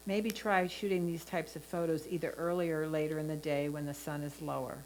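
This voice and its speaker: Low-voiced woman judging photographs. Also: flat delivery, brisk, darkish tone, slight background noise, rough recording. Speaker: photo competition judge. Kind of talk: prepared spoken image critique.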